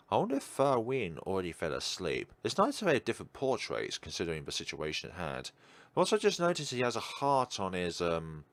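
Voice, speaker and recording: A man's voice: sits low, no echo. A man doing playthrough commentary on a visual novel, chatting in rambling asides and reading the story aloud. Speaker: let's player narrating a visual novel. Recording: background music under voice. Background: game music.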